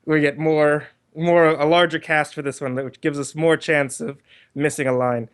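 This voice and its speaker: Male livestream host, slightly high voice, casual and rambling, restarts sentences, talking on a group voice call. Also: level tone, normal speed, smooth. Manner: rambling, repeats and restarts phrases. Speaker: livestream host organizing a cast.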